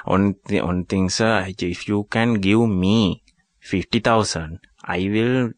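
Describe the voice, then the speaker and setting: A man playing a bumbling, apologetic car company agent on a prank phone call, halting and repeating himself deferentially. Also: on the low side, fairly even intonation, no echo. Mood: evasive, wheedling. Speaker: radio prank caller and show host.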